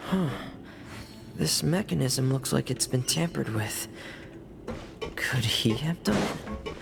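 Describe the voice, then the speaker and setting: An actor voicing a quiet, cautious young teenage boy in a video game, sighing and murmuring earnestly as he thinks aloud. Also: mid-range voice, expressive, takes it slowly, gravelly, over music, full character voice. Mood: pensive. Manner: sighing, murmured musing to himself.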